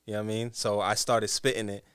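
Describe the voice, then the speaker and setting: A man with a deep, low voice, talking quietly as a rapper guest in a casual interview, his sentences trailing off. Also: flat delivery, fast talker, smooth, no echo, clean studio sound.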